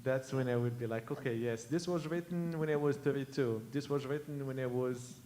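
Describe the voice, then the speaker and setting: A man in his early thirties, a musician on a panel, talking earnestly and haltingly, often acting out imagined voices. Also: medium pitch, mellow. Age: 30s. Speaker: Gnawa musician, panel guest. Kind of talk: casual panel conversation.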